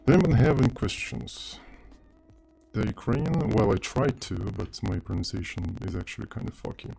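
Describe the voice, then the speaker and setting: A man's voice: on the low side, expressive, moderate pace, gravelly, warm tone. A man, mumbling offhand and swearing casually as he chats with viewers on a live coding stream. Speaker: streamer and programmer.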